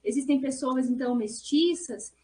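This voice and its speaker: High-pitched, mellow Brazilian woman explaining. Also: moderate pace, slight background noise. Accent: Brazilian Portuguese. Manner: earnest, discursive, often checks with "né". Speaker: academic researcher and panelist. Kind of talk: explanatory answer in an academic panel discussion.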